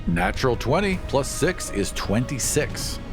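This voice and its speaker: A man's voice: medium pitch, very animated, a little slow. A man playing a tabletop game, narrating his character's actions and calling out rolls in casual speech. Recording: music bed behind the voice.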